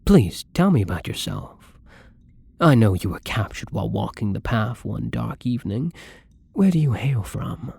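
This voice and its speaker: A lonely vampire acted out by a man speaks in a very breathy, husky voice with a gravelly creak. His delivery is soft, halting and wistful, pitched as intimate, tender ASMR roleplay. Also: animated, no room sound, exaggerated voice. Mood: wistful, fond. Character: lonely, gentle, wistful male vampire captive in castle.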